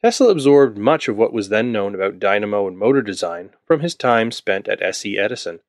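A man's voice: lowish voice, expressive, brisk, dry recording, studio quality. A man from Ontario narrating a scripted history podcast, with wry asides to the listener. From Ontario, Canada. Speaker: podcast host.

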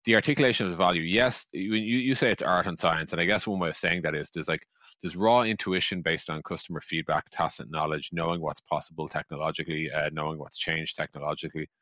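Deep-voiced man talking business, punchy and bright. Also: quick pace, dry recording, clean studio sound. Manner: conversational, emphatic, peppers talk with "like" and "you know". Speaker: podcast co-host and software executive. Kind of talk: casual business discussion.

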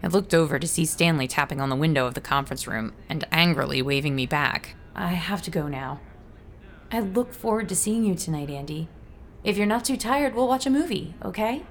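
A woman playing a working mother in an audio drama, speaking in a gentle, explanatory way. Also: low-pitched, lively intonation, brisk, a little hiss or hum. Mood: apologetic. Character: apologetic working mother Jess, narrating her day. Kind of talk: scripted audio drama narration and dialogue.

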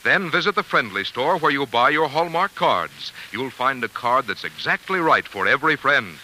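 A man's voice: mid-range voice, very animated, normal speed, pushed and bright, rough recording. A man announcing commercials in polished, folksy 1940s radio style, selling directly to the listener. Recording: hissy old radio broadcast.

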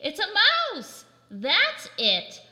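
A fussy, huffy old maid in a storybook tale, shrieking in a high voice and then dropping into grumbling. The voice is bright and rings out in a reverberant room. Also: female voice, wildly swooping pitch, slow, heavily acted. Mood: alarmed. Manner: shrill, huffy.

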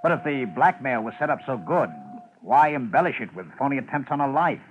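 Actor as a lively-pitched, questioning sidekick. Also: male voice, fairly quick, close to an everyday voice. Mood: sceptical. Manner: questioning, terse. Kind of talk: 1950s radio drama acting. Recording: dull, slightly noisy 1950s radio recording.

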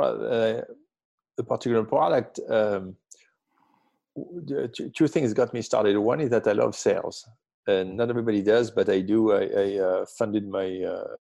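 A man's voice: fairly low pitch, vocal fry, mellow, dry recording. A man in his fifties from the south of France with a French accent, speaking as a guest in an interview, hesitant with many ums and restarts. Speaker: guest, tech company founder. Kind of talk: interview conversation.

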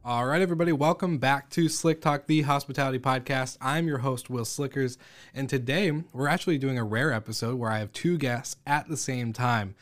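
A man's voice: medium pitch, brisk, faint music behind. Upbeat guy hosting a podcast, chatty, mid voice. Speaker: podcast host. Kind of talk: casual interview hosting.

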